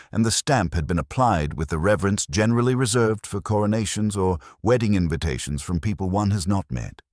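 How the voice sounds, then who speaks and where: A man reading scripted literary fiction as narrator in a measured, formal, storytelling manner, with a husky voice. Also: sits low, darkish tone, no echo, clean studio sound.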